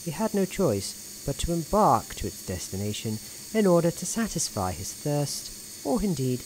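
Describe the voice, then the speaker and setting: Bit of background noise, soft spoken guy doing asmr story narration, wry n mock-grand, very up and down intonation. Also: a little high, big pitch swings, moderate pace, low-quality recording.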